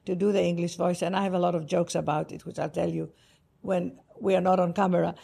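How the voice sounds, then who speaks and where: A woman from Greece with a Greek accent, a spiritual author speaking in a warm, effusive and emphatic way as a podcast guest. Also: on the low side, level tone, fast talker, gravelly, husky. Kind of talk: heartfelt interview talk. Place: Cartagena, Colombia.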